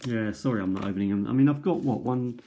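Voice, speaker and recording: A man casually showing his cassette tape collection at a kitchen table, recorded on a tablet, pausing often with ums and restarts. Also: mid-range voice, mellow, a little hiss or hum. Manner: hesitant, many ums and restarts. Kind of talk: casual show-and-tell. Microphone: tablet camera mic.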